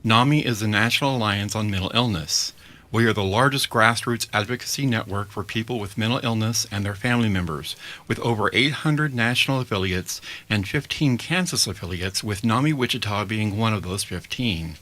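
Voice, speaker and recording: A man hosting a community radio program, reading a measured, prepared opening and then interviewing a guest. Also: lowish voice, bright, forceful tone. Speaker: radio host. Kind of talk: scripted intro and interview. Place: radio studio.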